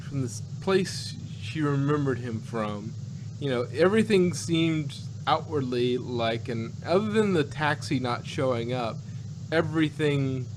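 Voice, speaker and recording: Halting man over a noisy, humming background. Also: medium pitch. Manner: halting, rambling. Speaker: podcast co-host reviewing audio dramas. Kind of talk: casual discussion.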